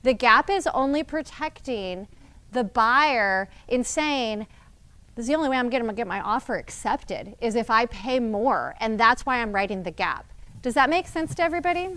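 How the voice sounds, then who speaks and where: A woman's voice: on the high side, animated, moderate pace, bright, forceful tone. A woman leading a real estate team meeting, explaining deals to agents in a direct, teaching manner. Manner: direct, explanatory, addresses the group. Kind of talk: training talk to agents.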